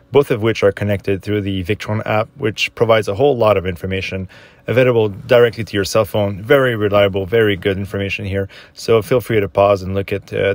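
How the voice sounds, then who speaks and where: A man with a fairly low voice narrates a tour of his own boat. He lists each compartment and piece of equipment in a plain, matter-of-fact way, without fuss. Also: darkish tone, no echo. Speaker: boat owner giving a tour.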